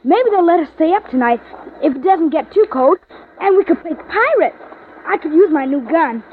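High-pitched eager boy, old radio. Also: normal speed. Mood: excited. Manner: excitable, childlike, slangy. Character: eager, slightly cautious young boy with a new toy gun. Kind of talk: 1950s radio drama acting. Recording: muffled, narrow-band old radio recording, faint noise.

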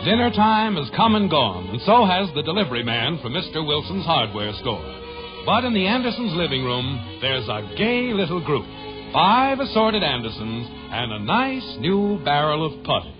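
A man's voice: fairly high pitch, sing-song, moderate pace. A man giving scripted narration to set the scene in a 1950s radio sitcom. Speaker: narrator introducing the story. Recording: muffled old radio recording over music.

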